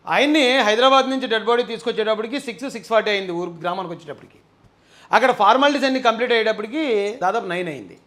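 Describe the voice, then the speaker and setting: Very high-pitched, fast-talking male commentator. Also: fairly bright tone. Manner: emphatic, argumentative, asks rhetorical questions. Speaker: political commentator and live presenter. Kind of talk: impassioned live commentary addressed to viewers.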